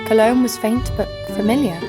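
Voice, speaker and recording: A woman's voice: a little high, fairly even intonation, moderate pace, music in the background, close to an everyday voice. A woman playing a brash, sardonic young adventurer, blunt and sarcastic, narrating her exploits in an audio drama. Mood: wry storytelling.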